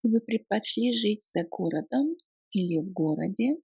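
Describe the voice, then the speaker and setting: A woman reading short phrases for beginners, repeating each one slowly in careful chunks. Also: medium pitch, expressive, creaky, warm tone, husky, no echo. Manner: slow, careful phrase-by-phrase repetition. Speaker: language lesson reader.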